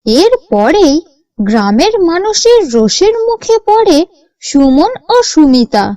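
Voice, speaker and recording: Slow, high-pitched woman storyteller. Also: big pitch swings, mellow, dry recording. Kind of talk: scripted story narration for a cartoon.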